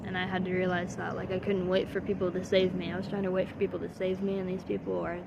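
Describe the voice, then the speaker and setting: Young woman, vlogger, earnest motivational rant, gravelly fry, noisy take with traffic. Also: fairly low pitch, monotone, quick pace. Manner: earnest and heartfelt, swearing, trailing off with soft laughs. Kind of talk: candid motivational monologue.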